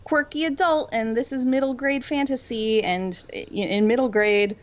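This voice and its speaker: A woman's voice: fairly high pitch, normal speed, muffled recording. A woman co-hosting a casual fan discussion, talking in a rambling, tangent-prone way peppered with "like". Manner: rambling, tangential, filler-heavy with frequent "like". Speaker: podcast co-host.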